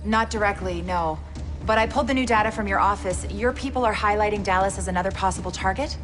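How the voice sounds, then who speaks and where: An actress playing a brisk, businesslike intelligence operations officer in a video game, with clipped delivery. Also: female voice, mid-range voice, music in the background, lightly acted. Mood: professional. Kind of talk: cinematic video game acting.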